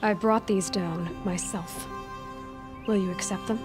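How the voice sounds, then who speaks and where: An actress playing a determined outsider huntress in a video game, speaking in a direct, firm way. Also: female voice, mid-range voice, fairly even intonation, unhurried, over music, close to an everyday voice. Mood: firm, proud.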